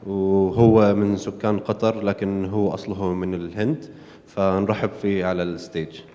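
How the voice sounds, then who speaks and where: A man introduces the next speaker at a conference, rushing through his words with many hesitant fillers. His voice sits low. The sound carries a long hall echo. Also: level tone, dark tone, a little hiss or hum. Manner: hesitant, frequent "aah" fillers. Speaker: event host. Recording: hall reverb.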